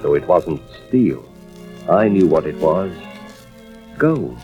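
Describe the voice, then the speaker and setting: A man's voice: deep voice, sing-song, takes it slowly, full character voice. An American actor playing an intent, hard-boiled male arson investigator in an old radio drama, with terse delivery. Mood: tense, suspenseful. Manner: terse, suspenseful first-person narration. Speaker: lead actor and first-person narrator. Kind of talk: 1940s-50s radio drama acting. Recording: dull old radio recording, music behind.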